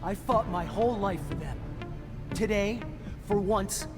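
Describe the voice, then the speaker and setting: A man's voice: high-pitched, measured pace, in a large hall, over music. A man playing a bitter, war-weary army deserter in a video game, intense and defiant. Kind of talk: video game dramatic acting.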